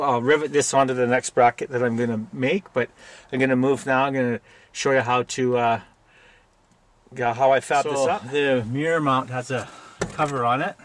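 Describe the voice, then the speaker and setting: A man with a Canadian accent giving a casual, unscripted hands-on tutorial in a plainspoken, halting way. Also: mid-range voice, no echo. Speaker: DIY video presenter.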